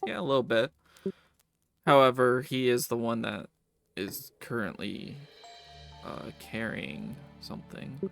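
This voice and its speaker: A man's voice: medium pitch, unhurried, creaky, pauses often, fairly bright tone. A man acting as game master, casually narrating a tabletop game and answering players' questions. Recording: music behind the voice. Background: background music.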